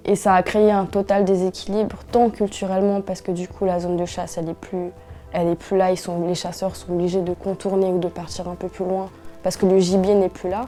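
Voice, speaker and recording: Young woman from french guiana, earnest interview talk, warm voice, flat steady delivery, soft music behind. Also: on the low side. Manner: earnest, measured, with frequent restarts and hesitations. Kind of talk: interview testimony.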